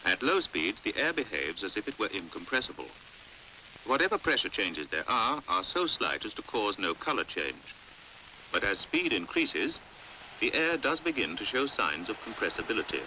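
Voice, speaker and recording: A British man reads scripted 1950s educational film narration with careful precision. His voice is fairly low yet very bright and forceful, with lively rises and falls as he explains. The old film soundtrack carries a faint hiss. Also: rough recording. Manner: measured, precise, didactic. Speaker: documentary narrator.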